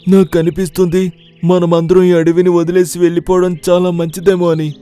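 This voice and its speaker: A man's voice: sits high, little pitch movement, normal speed, warm tone, clear, resonant tone, faint music behind, close to an everyday voice. A man voicing a wise, concerned elephant with an earnest, grave manner in a children's cartoon story. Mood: worried.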